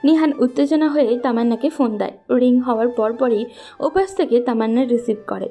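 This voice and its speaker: High, mellow female story narrator. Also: fairly quick, soft background music.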